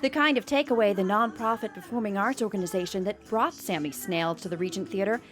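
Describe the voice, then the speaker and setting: A woman's voice: medium pitch, quick pace, faint music behind. A woman delivering a scripted local news report as a field reporter.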